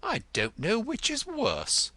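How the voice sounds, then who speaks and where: A man's voice: high-pitched, big pitch swings, unhurried, sharp tone, no echo, pitched above the performer's own voice, full character voice. A man voicing a pompous, self-important male poet in an audiobook, speaking in a florid, easily offended way. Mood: indignant, boastful. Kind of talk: audiobook character voice.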